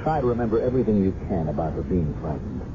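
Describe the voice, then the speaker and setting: Intense police detective on muffled old radio. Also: male voice, sits low, animated, fast. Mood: guarded, probing. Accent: American. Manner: terse, probing questioning. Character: intense, brooding male police detective, personally invested. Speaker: radio drama actor. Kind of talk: 1970s radio drama acting. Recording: muffled, noisy 1970s radio broadcast recording.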